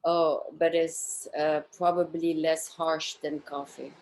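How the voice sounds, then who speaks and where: A woman with a very low voice for a female speaker hosts a casual interview. She talks conversationally, putting questions and remarks to her guest. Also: flat delivery, slow pace, a little mellow, poor audio.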